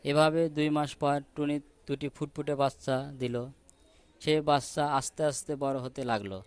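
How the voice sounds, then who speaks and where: A man's voice: fairly high pitch, steady tone, moderate pace, smooth. A man working as narrator, telling a children's cartoon story.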